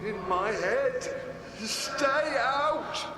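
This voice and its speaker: A frightened male bystander in a fantasy game. He pleads in a very high, halting, panicked voice, drawn out slowly. The sound is echoey. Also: animated, distant mic, noisy background, exaggerated voice. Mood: terrified. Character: terrified, pleading bystander under mind control. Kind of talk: video game dialogue acting. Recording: echoing voice with music behind.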